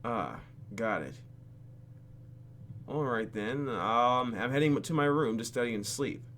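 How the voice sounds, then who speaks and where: A man hosting a let's play, reading game text aloud and adding sarcastic, animated asides. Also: medium pitch, measured pace, a little hiss or hum. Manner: animated, sarcastic, exclaims and mutters. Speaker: YouTube gaming host.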